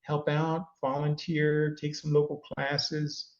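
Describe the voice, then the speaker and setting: A man's voice: on the high side, monotone, takes it slowly, reverberant, dull audio. A middle-aged man from California, a guest giving rambling, self-deprecating advice in a casual chat. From California, USA. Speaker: interview guest.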